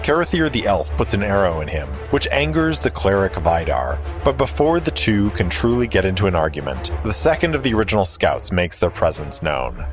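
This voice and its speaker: A man's voice: fairly low pitch, sing-song, a little fast, hardly any pauses, soft background music. A man co-hosting a podcast, narrating a scripted recap of a fantasy story in a dramatic style. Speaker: podcast co-host.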